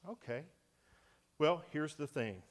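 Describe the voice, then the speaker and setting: A fairly high-voiced man preaching in a church. He is a deacon with a big voice, and he swings between booming emphasis and near-whispers. He speaks into a microphone he wears. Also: slow pace. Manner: animated preaching, swings from loud to hushed. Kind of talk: sermon. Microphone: wearing a microphone.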